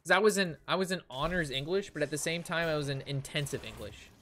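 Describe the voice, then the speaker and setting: A man's voice: a little high, fast, fairly bright tone, slight background noise. A young man telling ranting, casual stories to his stream chat, swearing freely and repeating himself with exasperation. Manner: profane, exasperated, repeats phrases. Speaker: game streamer. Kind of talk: casual ranting storytelling to stream chat during card game.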